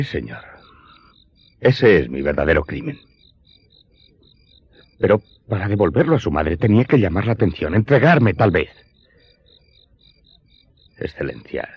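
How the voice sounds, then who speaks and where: Radio drama role: guilt-ridden manservant, very low voice, deferential and sorrowful, muffled recording with music behind. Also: male voice, big pitch swings, measured pace, long pauses, breathy edge, dry recording, full character voice. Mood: contrite, pleading. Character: remorseful male servant, a steward confessing his crime. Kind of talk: Spanish-language radio drama acting. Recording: dull lo-fi sound, music behind.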